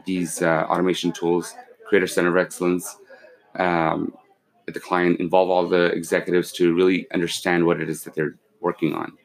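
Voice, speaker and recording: CEO guest, forties, very low voice, steady and measured, filler-heavy. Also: male voice, vocal fry. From born in Pakistan, raised in London and Kuwait. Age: 40s. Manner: measured, with many fillers and restarts. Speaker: company CEO, podcast guest. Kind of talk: casual interview, explaining business.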